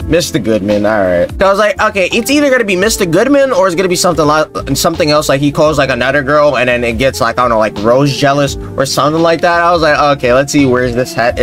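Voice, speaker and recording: A young man doing casual reaction commentary as a video host, slangy, excitable and repeating himself. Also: medium pitch. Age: young adult. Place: indoors at a desk, on a computer.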